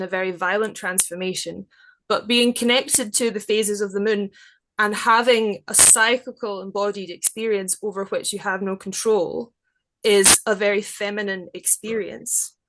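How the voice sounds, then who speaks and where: A woman speaks as a poet presenting her work, at a distinctly slow pace and a medium pitch. Her phrasing is deliberate and literary. The recording carries clear noise. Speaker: poet reading own work. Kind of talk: measured poetry reading and reflective explanation.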